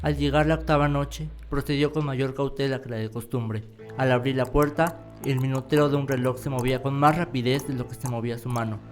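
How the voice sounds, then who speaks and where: A man narrating a scripted radio drama in a measured, dramatic storytelling manner. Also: medium pitch, fairly even intonation. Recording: clear music behind the voice.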